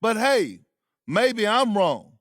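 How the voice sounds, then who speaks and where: A man's voice: high-pitched, sing-song, takes it slowly, a bit bright, a little hiss or hum, exaggerated voice. A man playing a cocky sports show host who needles a rookie with teasing, skeptical, punchy delivery in a video game. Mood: mocking, skeptical. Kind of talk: sports talk show monologue.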